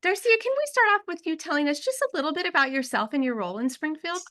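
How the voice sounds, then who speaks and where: A woman hosting a webinar, giving a smooth prepared introduction of the panel. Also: high-pitched, expressive, fast talker, sharp tone. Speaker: webinar host.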